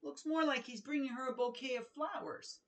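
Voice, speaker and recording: A middle-aged woman, a children's author, speaking in a deliberate, expressive read-aloud style that leans on key words. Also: high voice.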